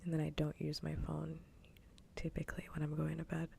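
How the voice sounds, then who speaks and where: A woman livestream host chatting casually in a soft, breathy whisper for ASMR, gentle and unhurried, close to the microphone. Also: low voice, completely flat delivery, a little hiss or hum.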